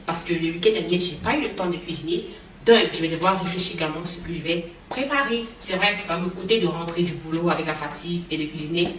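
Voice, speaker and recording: A woman vlogger, hoarse from a cold and tired after work, chatting casually to camera about cooking and groceries, with many fillers. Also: fairly low pitch, quick pace, fairly bright tone, a little hiss or hum, rough recording. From now living in Canada. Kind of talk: casual vlog chat. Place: home kitchen.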